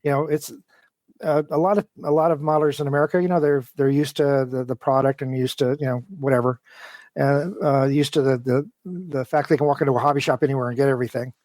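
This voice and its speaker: A man being interviewed, talking casually and at length in a rambling, halting, detailed way as a guest engineer. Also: mid-range voice, fairly even intonation, darkish tone, dry recording. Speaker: interview guest, model train design engineer.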